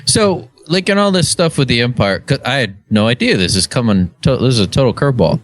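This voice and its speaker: A man with a mid-pitched, very lively voice co-hosts a podcast. He chats loosely and jokingly, and the sound is dry and close. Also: fairly quick, no echo, soft background music. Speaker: podcast co-host. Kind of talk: casual chat, reacting and joking.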